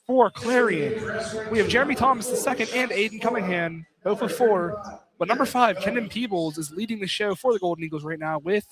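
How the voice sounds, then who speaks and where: A man's voice: high voice, a little fast, crowd noise. A man doing live play-by-play basketball commentary on radio. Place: college basketball field house. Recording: clearly noisy live broadcast.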